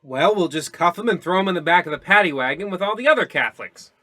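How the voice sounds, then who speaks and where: Excitable male detective, played by an actor, radio comedy. Bright forceful voice, a little high, overconfident and emphatic. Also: fast talker, dry recording, exaggerated voice. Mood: urgent. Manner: melodramatic, emphatic. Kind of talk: old-time radio comedy acting.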